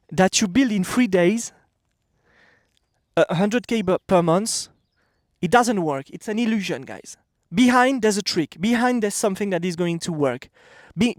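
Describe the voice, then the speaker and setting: A man in his thirties with a French accent gives a casual motivational talk straight to camera. His voice sits high for a man and is recorded close and dry. He talks bluntly and emphatically. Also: dry recording. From France. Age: 30s. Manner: blunt, emphatic, repeats "okay?". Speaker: vlogger. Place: outdoors.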